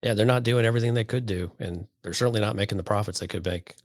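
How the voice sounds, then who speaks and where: A man's voice: on the low side, rapid-fire, no room sound, studio quality. A man co-hosting a podcast, giving his commentary on a story in casual conversation. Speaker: podcast co-host. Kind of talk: casual discussion commenting on an audio drama.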